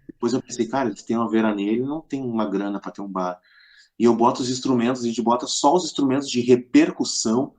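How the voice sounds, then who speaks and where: A man's voice: medium pitch, fast talker, a little mellow. A middle-aged man with a southern Brazilian accent from Rio Grande do Sul, casually telling anecdotes as a podcast guest in a colloquial way, acting out quoted dialogue.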